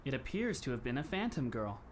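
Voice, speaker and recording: A man in an anime fan dub playing a polite, composed teenage schoolboy who speaks in formal, measured phrases with a faintly wry touch. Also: medium pitch, big pitch swings, slight background noise, natural voice. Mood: calm explaining.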